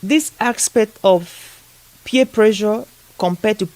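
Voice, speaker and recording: A man's voice: sits high, slow pace, a little mellow, no echo. A man with an African accent hosting a conversational interview, speaking earnestly and repeating phrases for emphasis. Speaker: podcast host.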